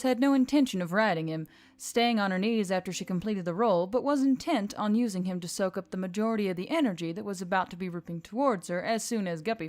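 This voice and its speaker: A woman's voice: mid-range voice, darkish tone. A woman reading scripted action fiction as an audiobook narrator. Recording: background music under the voice.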